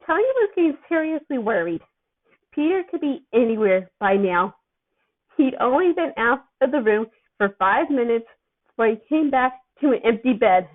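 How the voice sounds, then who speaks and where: A woman's voice: a little high, sing-song, normal speed. A woman narrating a story expressively as an amateur reader. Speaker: amateur fan fiction reader. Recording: thin, phone-like narrow-band sound.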